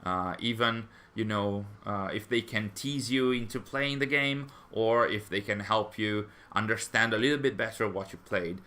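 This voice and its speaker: Low-voiced man hosting a live stream. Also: moderate pace, few pauses, bright, forceful tone. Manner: rambling, hesitant, conversational. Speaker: fan channel stream host. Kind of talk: live stream chat, reading viewer comments.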